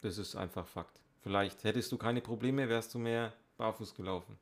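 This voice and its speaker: A man's voice: fairly low pitch, normal speed. Dry man co-hosting a podcast. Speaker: podcast co-host. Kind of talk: casual banter and chat.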